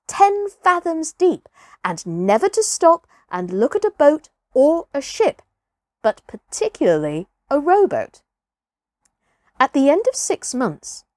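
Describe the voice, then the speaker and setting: Animated, unhurried woman storyteller. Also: a little high, no room sound, studio quality. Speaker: storytime host and reader. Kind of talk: expressive children's storybook narration.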